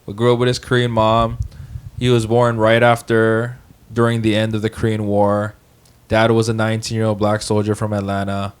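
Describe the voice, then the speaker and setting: A young man from Hawaii, a podcast co-host, talking casually, joking and swearing, then speaking softly and thoughtfully about his family. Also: fairly low pitch, clean tone. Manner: joking, profane, then reflective and soft-spoken. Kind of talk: casual group chat, storytelling.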